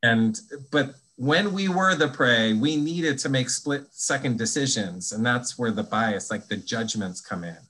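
A man's voice: medium pitch, animated, unhurried, few pauses, bright, forceful tone, rough recording. A man talking as a podcast guest, explaining ideas in a thoughtful, conversational way with frequent restarts. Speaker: guest, anti-bias trainer.

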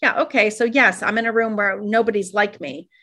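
A middle-aged woman from Iran, talking fast and animatedly as an interview guest, with many fillers and restarts. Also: mid-range voice, fairly even intonation, pushed and bright. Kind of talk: reflective interview conversation.

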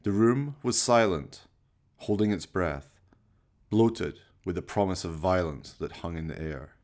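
A man playing a sinister, courtly fireside storyteller, narrating a horror radio play with slow, mock-polite, menacing theatricality. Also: deep voice, darkish tone. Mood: ominous, wry.

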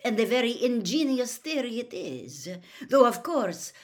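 A woman's voice: medium pitch, lively intonation, moderate pace, bright, forceful tone, voice pushed up. A woman voicing a cold, disdainful male headmaster with haughty, mocking delivery in a storybook reading. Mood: cold mockery.

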